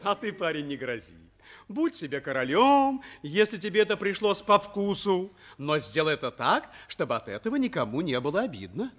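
A man's voice: fairly high pitch, big pitch swings, normal speed, a bit bright. A man playing an earnest, kindly, protective folk hero in a theatrical radio drama. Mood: calm admonishing. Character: kindly folk hero defending a mocked child.

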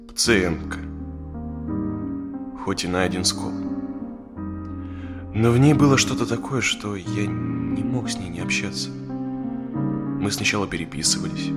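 An actor playing a conflicted married dentist of forty in an audio drama, confiding hesitantly in natural, conversational speech. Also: male voice, deep voice, unhurried, pauses often. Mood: subdued, hesitant. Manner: hesitant, confessional. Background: plucked guitar music.

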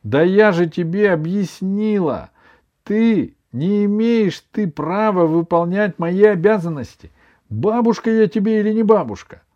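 A bossy, doting old granny from a children's storybook, acted out by a man, pitched well up and drawn out slowly. The delivery is indignant and plaintive, swinging widely in pitch, on a dull recording. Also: lively intonation, darkish tone.

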